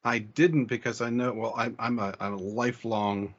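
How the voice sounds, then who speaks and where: Man, podcast co-host, husky, casual, halting delivery, dull lo-fi sound. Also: mid-range voice, steady tone. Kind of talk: casual chat, listening and responding.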